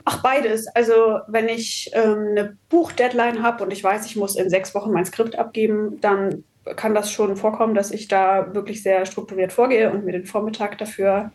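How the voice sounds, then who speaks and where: A woman's voice: mid-range voice, flat delivery, moderate pace, few pauses, darkish tone. A woman speaking as an author being interviewed, chatty and reflective, articulate, with the odd English word mixed in. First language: German. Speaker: author and copywriter, interview guest. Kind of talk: casual, reflective interview conversation.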